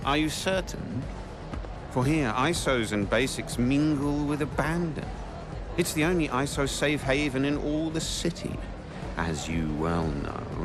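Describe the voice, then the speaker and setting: An actor playing a flamboyant, self-assured club owner in a video game, speaking with grandiose, theatrical diction over game music. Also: male voice, lowish voice, lightly acted. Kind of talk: video game cutscene acting. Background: game score music.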